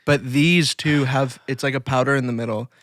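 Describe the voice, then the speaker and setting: A man with a medium-pitched voice talks as a podcast co-host in a very dry recording. His style is casual and slangy, like chatting with a friend. He speaks with enthusiasm about his favourites. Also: fairly even intonation, normal speed, fairly bright tone, dry recording. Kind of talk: casual chat between friends.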